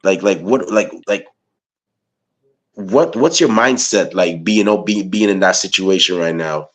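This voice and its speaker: A man from Guyana with a very low voice hosts a casual interview. He rambles his way into each question, padding it with "you know" and "man". He sounds easygoing and chatty. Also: unhurried, fairly bright tone. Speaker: interviewer, podcast host.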